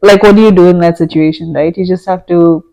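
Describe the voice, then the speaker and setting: A woman's voice: lowish voice, fairly even intonation, fairly quick, creaky, dark tone, dull audio. A woman co-hosting a casual podcast, talking in a conversational, hedging way with fillers. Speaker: podcast co-host.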